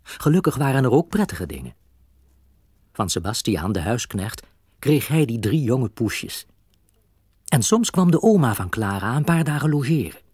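A man with a Dutch accent narrating a scripted children's audio drama in an expressive storybook manner. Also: medium pitch, normal speed, long pauses, husky, no echo, studio quality.

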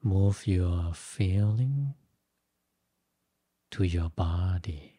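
A man leading a guided meditation as a teacher, giving gentle instructions in short phrases with long pauses. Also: sits low, expressive, husky, dry recording. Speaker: Buddhist monk, meditation teacher.

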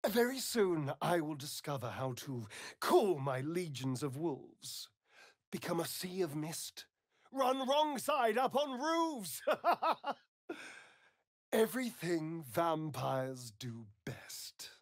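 Vain, flirtatious British vampire rogue for a fantasy game: slow, arch delivery with big swoops in pitch. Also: male voice, sing-song, dry recording, studio quality. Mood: gloating. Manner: arch, theatrical, drawling, mocking. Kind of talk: theatrical video game character acting.

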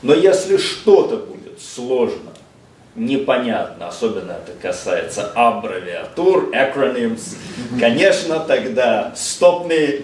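A middle-aged man seated at a table in a seminar room, giving a measured lecture with frequent hesitations and slipping in English phrases. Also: medium pitch, a little mellow. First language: Russian. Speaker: visiting lecturer. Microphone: distant room mic, speaker seated across the room. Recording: echoey room, speaker far from mic, faint hiss.

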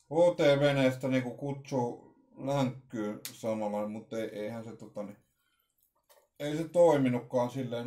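A man in his forties talks to a desk webcam in a small room at home while he unboxes gear. His voice is mellow and dark, and he thinks aloud as he goes. He halts often, restarts his sentences and fills the pauses with hesitations. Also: mid-range voice. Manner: halting, thinking aloud with many fillers and restarts. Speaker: vlogger unboxing radios. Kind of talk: casual unboxing commentary.

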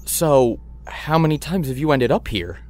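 A man's voice: fairly high pitch, animated, normal speed, a little mellow, natural voice. An actor playing a flustered, hesitant, polite everyman customer in a comedy audio drama. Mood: puzzled. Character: flustered, bewildered everyman insurance customer named Ed.

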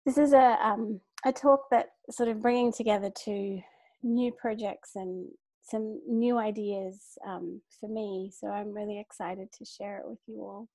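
A woman from Sri Lanka giving a measured, formal academic lecture from home over a video call, with brief fillers and restarts. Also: medium pitch, steady tone, dark tone, no room sound. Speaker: keynote lecturer, art historian. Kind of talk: academic lecture read from a script. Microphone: computer mic on a video call.

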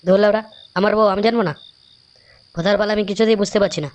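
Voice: mid-range voice, fairly even intonation, quick pace, slight background noise, lightly acted. A performer voicing a foul-mouthed, irritable young male cartoon buddy in a comic dub. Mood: brash, griping. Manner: crude, swearing, brash.

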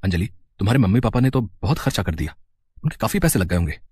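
A man's voice: on the low side, big pitch swings, fast talker, a little mellow, slightly rough, dry recording, studio quality. A man voicing a caring, earnest young husband and son-in-law in a family story. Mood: concerned.

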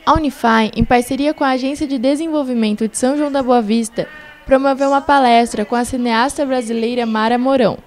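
A woman reading a scripted news voice-over in an even, formal way. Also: high voice, fairly quick. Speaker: news reporter.

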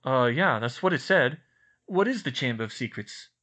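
A man's voice: medium pitch, animated, moderate pace, pushed and bright. A man voicing an anxious schoolboy wizard who talks hesitantly. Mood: uneasy.

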